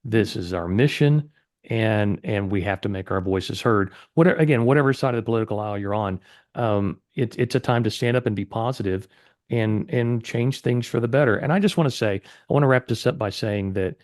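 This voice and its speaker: A man's voice: lowish voice, brisk, a little mellow. A man hosting a casual interview podcast, speaking in an upbeat, earnest and encouraging way. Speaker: podcast host.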